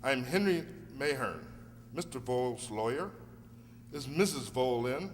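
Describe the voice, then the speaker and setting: A man's voice: mid-range voice, expressive, measured pace, lightly acted. A man in a radio play acting an earnest, courteous defence lawyer who speaks formally and politely. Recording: echoey hall, faint noisy hum.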